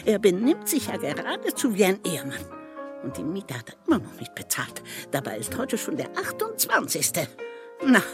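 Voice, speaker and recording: A woman's voice: medium pitch, huge pitch swings, normal speed, over music. An actress playing a furious, nagging landlady in a radio play, scolding indignantly about petty grievances. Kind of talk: German radio play monologue.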